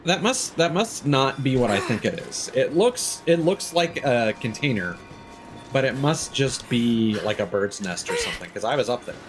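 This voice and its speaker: A man's voice: mid-range voice, expressive, moderate pace, noisy background. A man giving casual live commentary as he streams a video game, thinking aloud hesitantly.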